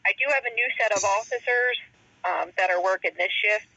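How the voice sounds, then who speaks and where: A woman's voice: medium pitch, a little fast, sharp tone, slightly rough, no room sound. A woman police dispatcher handling a call patiently and accommodatingly, heard over a dull telephone line. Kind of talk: unscripted phone call handling.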